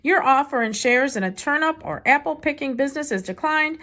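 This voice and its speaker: Stern storybook lawyer, read by a woman, high voice, formal, firm. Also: animated, brisk, sharp tone. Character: formal, stern lawyer writing a warning letter.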